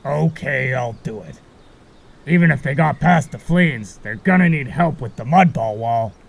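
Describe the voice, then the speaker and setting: Man playing a gravelly, easygoing guide. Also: mid-range voice, expressive, measured pace. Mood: resolved. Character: friendly, laid-back male guide helping travellers. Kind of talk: amateur audio drama acting.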